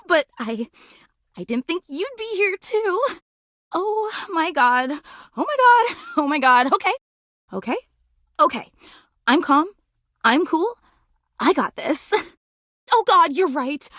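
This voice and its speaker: A woman playing an excitable, nervous young fangirl in a video game, gushing, flustered and apologetic. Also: high voice, no echo, clean studio sound, full character voice. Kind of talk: video game character voice acting.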